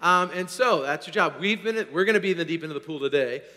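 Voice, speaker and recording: Hoarse male pastor, bright and fairly high, energetic, swings from loud to hushed, long hall reverb. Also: quick pace. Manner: energetic, swinging from loud to quiet, repeats "all right?". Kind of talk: sermon. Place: church sanctuary.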